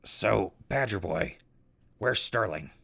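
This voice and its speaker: An actor in an audio drama playing a gruff, exasperated and weary wasteland survivor with a raspy voice. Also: male voice, fairly low pitch, takes it slowly, bright, forceful tone, heavily acted. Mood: weary, earnest.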